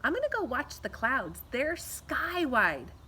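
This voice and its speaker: Woman acting out inventive little girl, perky, confident, bright voice, storybook reading, faint noise. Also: high-pitched, wildly swooping pitch, slow, pitched above the performer's own voice, heavily acted. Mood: cheerfully confident. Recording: faint outdoor background noise.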